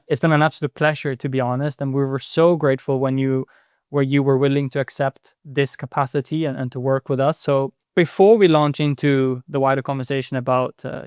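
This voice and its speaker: A man's voice: mid-range voice, darkish tone, clean tone, no echo, clean studio sound. A man hosting a podcast interview, talking casually and hesitantly with lots of fillers and tag questions. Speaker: podcast host.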